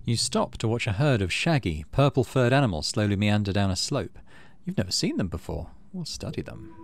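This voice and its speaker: A man's voice: lowish voice, very animated, brisk, gravelly, slight background noise. A man giving casual let's play commentary, thinking aloud and reading game text as he goes. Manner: thinks aloud, muttering through choices. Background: game music.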